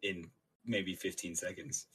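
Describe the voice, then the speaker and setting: A man's voice: low voice, steady tone, unhurried, a bit bright, breathy edge. A man co-hosting a casual podcast, joking and reading out details with lots of fillers. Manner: jokey, reads out stats with many fillers. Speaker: podcast co-host and intern.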